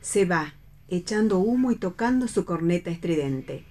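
A woman's voice: lowish voice, animated, moderate pace, dark tone. A woman narrating a radio play, reading stage directions as scripted narration.

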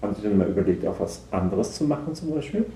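A man's voice: lowish voice, lively intonation, fast, creaky, warm tone, echoey room, a little hiss or hum. An actor playing a psychologist in a naturalistic radio drama, hesitantly probing and repeating back what he is told. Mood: patient, probing. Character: evasive male psychologist, soft, probing, echoing every answer. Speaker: psychologist conducting an assessment.

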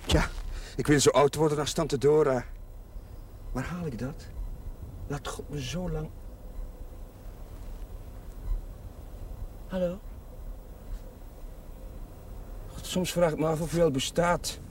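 Higher-voiced, earnest young chaplain played by a man. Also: darkish tone, lightly acted. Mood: searching, unsettled. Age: 30s. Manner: hesitant, musing, searching for words. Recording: television drama with faint hiss.

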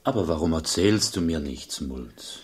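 A male actor playing a gentle, curious young cowherd in a radio drama, with simple, halting, questioning lines. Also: very deep voice, animated, breathy edge, exaggerated voice. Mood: curious, hesitant.

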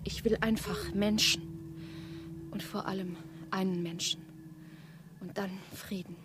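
A woman playing hushed, intense young wife. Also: mid-range voice, steady tone, unhurried, background noise, natural voice. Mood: urgent. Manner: intense, often hushed and whispering. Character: Eva, young wife torn between two men. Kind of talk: German radio play acting.

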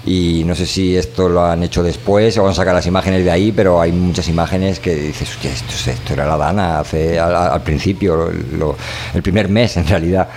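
A man's voice: low-pitched, fairly even intonation, moderate pace, a little mellow, slight background noise. A man with a Spanish accent from Spain co-hosts a podcast, talking in a casual, opinionated, rambling and colloquial way. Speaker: podcast co-host.

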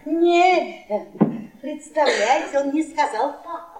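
An actress playing a brazen, spiteful middle-aged wife in a radio play, with drawling, theatrical mockery. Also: female voice, high-pitched, takes it slowly, a little mellow. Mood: gleeful mockery. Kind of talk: stage-style radio play acting. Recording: echoey old radio play, music behind.

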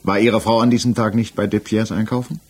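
A man acting as an examining magistrate in a 1960s German radio drama, speaking in a measured, formal way with pointed questions. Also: fairly low pitch, level tone, a little mellow, husky. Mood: probing. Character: male examining magistrate, methodical, probing, insistent, coolly persistent. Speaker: actor in a radio crime drama.

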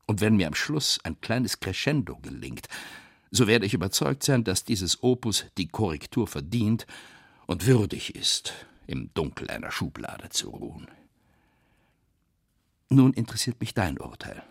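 An actor playing a weary, bitter novelist, reading in a deliberate, brooding literary radio-play manner with theatrical pauses. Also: male voice, fairly low pitch, sing-song, vocal fry, breathy edge, dry recording, clean studio sound, heavily acted. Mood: brooding, resigned.

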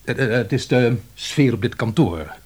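An actor playing a bumbling, earnest male scholar in a Dutch radio drama, husky, hesitant, wordy and apologetic. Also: medium pitch, animated, normal speed, darkish tone. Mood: awkward, apologetic.

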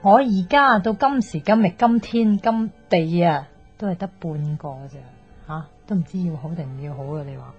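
A woman's voice: lowish voice, normal speed, slightly rough. An actress playing an outspoken, teasing young woman who gives love advice, chatty and sighing, with a Hong Kong Cantonese accent in a radio drama. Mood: nosy, advising. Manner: chatty, blunt, sighing, mock-exasperated. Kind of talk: naturalistic radio drama acting. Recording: slightly dull, music underneath.

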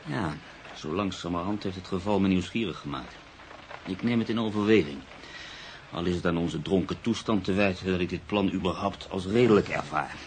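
An actor playing a cultured, calmly ironic journalist and writer in a Dutch radio drama, speaking in a measured, reflective, formal way. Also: male voice, low-pitched, slight background noise, lightly acted. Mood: calm, genial. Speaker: lead actor and first-person narrator.

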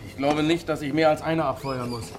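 Man playing a blunt impatient heist guy, fast talker, highish voice, radio thriller, bit of noise. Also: fairly even intonation, natural voice. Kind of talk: radio crime drama acting.

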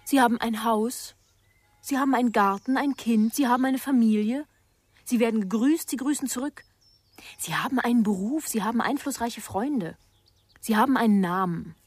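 Anxious daughter, young, higher-pitched, husky, hesitant, subdued, radio crime drama. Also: female voice, moderate pace, no echo. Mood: anxious, guarded. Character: frightened, guarded daughter of a respected family.

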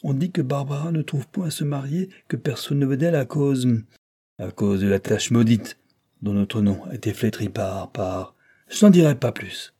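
A man voicing an irate, stubborn older judge who shouts and hectors. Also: fairly low pitch, sing-song, moderate pace. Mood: indignant.

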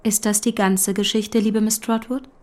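An actress playing a gentle, steadfast young lady in a German period radio drama, speaking softly and sincerely. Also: mid-range voice, level tone, normal speed, no echo, lightly acted. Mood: concerned. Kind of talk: German radio play acting.

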